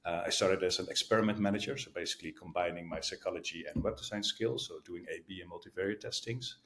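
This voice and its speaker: A middle-aged man from the Netherlands with a Dutch accent tinged with American, answering interview questions in a relaxed way, thinking aloud with fillers. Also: low-pitched, fast talker. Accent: Dutch with some American. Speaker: guest, sales leader.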